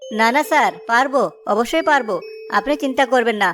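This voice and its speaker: High-voiced village samosa seller acted by a woman. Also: a little fast, fairly bright tone, soft background music, lightly acted. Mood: humble, grateful. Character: village samosa sellers, one humble, one jealous. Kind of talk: cartoon story dialogue.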